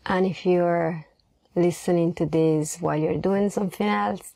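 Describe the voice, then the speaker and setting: Slow, mellow woman guiding a meditation. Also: lowish voice, no echo. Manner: gentle, unhurried, pausing between phrases. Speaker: meditation guide. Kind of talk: guided meditation.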